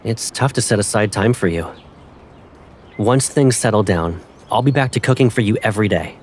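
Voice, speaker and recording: A man's voice: lowish voice, moderate pace, a little hiss or hum, natural voice. A man playing a young pilot in an audio drama, playful and protective, teasing a childhood friend with affection. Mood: caring. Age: young adult. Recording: faint music behind the voice.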